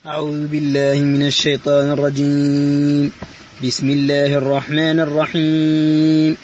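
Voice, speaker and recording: A man reciting the Quran as a student, chanting in a slow, measured way. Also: fairly high pitch, monotone, a little mellow. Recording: muffled audio, light background noise.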